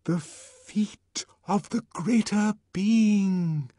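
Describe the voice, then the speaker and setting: A man voicing a disembodied, mesmerising cult voice in an audiobook, commanding and portentous, with drawn-out words. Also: a little high, big pitch swings, warm tone, no echo, clean studio sound, voice pushed up, full character voice. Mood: hushed, awed whisper. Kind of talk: dramatic audiobook reading.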